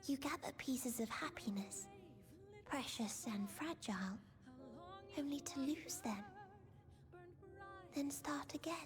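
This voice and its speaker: Innocent otherworldly girl, high breathy voice, slow tender delivery, wistful, video game cutscene, music underneath. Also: expressive. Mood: wistful, awed. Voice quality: soft, breathy. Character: gentle, sorrowful otherworldly girl, childlike and innocent.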